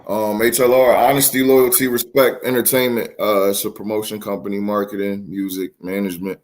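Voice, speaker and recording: A man's voice: fairly low pitch, flat delivery, brisk. A young man from Philadelphia, a company CEO as a guest, talking casually with hesitations and repeated words. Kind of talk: casual interview talk.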